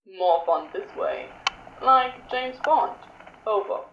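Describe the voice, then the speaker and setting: A woman's voice: fairly high pitch, animated, slow, roomy sound, lo-fi sound, a little hiss or hum. A woman reading a story aloud and voicing a lazy, teasing male demon who drawls his words. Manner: drawling, playful. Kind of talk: podfic character voice.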